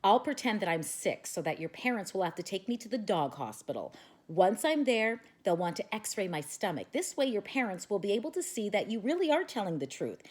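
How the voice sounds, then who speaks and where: A woman voicing a clever pet dog who calmly lays out a plan. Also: medium pitch, brisk, slightly rough. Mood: scheming.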